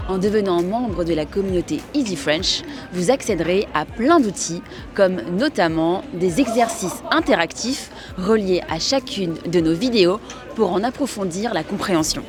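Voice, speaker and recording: A woman host interviewing passers-by in the street and reading a promo, asking measured, clear questions. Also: mid-range voice. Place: city street outdoors.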